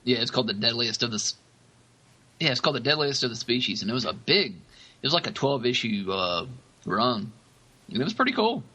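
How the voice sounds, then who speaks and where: Bright-voiced man in halting podcast chat. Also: mid-range voice, big pitch swings, breathy edge, rough recording. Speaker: podcast co-host. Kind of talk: casual chat.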